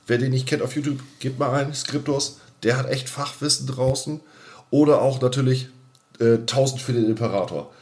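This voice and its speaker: A man's voice: medium pitch, moderate pace, a little mellow. A man co-hosting a casual podcast, talking colloquially and emphatically and often repeating his phrases. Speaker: podcast co-host.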